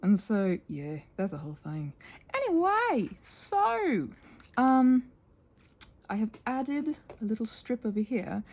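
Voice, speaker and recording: A woman hosting a rambling craft ASMR chat with a husky voice, in an expressive, tentative, self-deprecating way that meanders. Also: on the high side, slow pace. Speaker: channel host.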